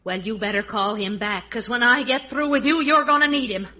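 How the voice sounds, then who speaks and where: An actress playing a worried, sensible housewife who scolds insistently, in 1940s radio sitcom style. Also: female voice, on the high side, fairly quick, pushed and bright. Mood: angry, scolding. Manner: anxious, insistent, reproachful.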